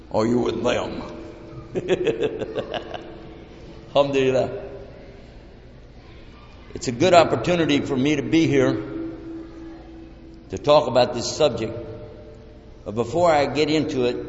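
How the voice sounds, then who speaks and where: A man's voice: mid-range voice, darkish tone, a little hiss or hum. A man from Texas with a Texan accent, giving a joking, folksy talk to a live audience in a large hall. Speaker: lecturer. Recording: echoey hall, dull lo-fi sound.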